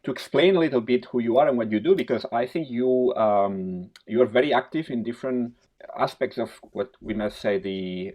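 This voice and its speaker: A man hosting a podcast, reading a measured introduction and then interviewing his guests. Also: lowish voice. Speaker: podcast host. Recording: dull lo-fi sound.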